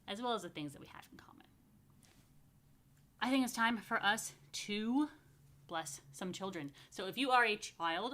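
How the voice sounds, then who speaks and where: A woman's voice: mid-range voice, a bit bright. A woman speaking as a rabbi to young families, informal and playful, in simple, chatty language meant for children. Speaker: rabbi leading a children's story time and blessings. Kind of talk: informal talk to young families, with story reading and blessings.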